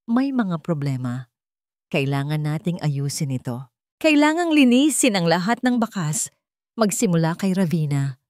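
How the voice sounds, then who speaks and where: A woman's voice: fairly low pitch, big pitch swings, unhurried, darkish tone, no room sound, clean studio sound. A woman voicing an angry, scheming, greedy man who commands and threatens in a dramatic audio story. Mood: menacing.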